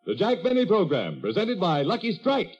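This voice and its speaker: Husky, emphatic male radio announcer with swooping intonation. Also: high voice, wildly swooping pitch, darkish tone. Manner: emphatic, deliberate salesman's delivery. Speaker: commercial announcer. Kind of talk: 1940s radio advertising read. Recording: dull old 1940s radio recording.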